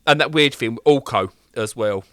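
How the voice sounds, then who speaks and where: An English man co-hosting a gaming podcast, bantering in an animated, joking way with lots of quick restarts. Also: medium pitch, dry recording. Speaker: podcast co-host. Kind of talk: casual banter.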